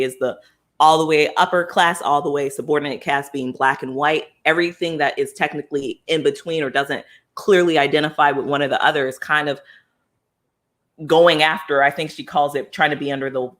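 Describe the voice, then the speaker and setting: A man from the United States hosting a casual book club discussion, thinking aloud with frequent fillers and restarts and swinging between excitement and thoughtful pauses. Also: on the high side.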